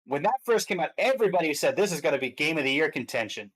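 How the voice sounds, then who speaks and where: A man's voice: a little high, sing-song, fast talker, a bit bright. A man chatting casually and giving his opinions as a guest commentator on games. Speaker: guest commentator on game awards stream.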